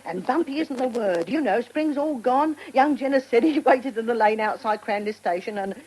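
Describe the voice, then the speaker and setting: A woman's voice: a little high, moderate pace, natural voice, rough recording. An actress playing a gossipy older countrywoman with a rural southern English accent and rustic dialect, in a British radio drama. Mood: gleeful gossip. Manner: animated, relishing storytelling. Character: gossipy older countrywoman, loyal companion to her lady.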